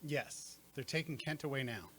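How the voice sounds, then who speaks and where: A man playing a businesslike, plain-spoken police inspector in a radio mystery play, brisk and questioning. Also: medium pitch, natural voice.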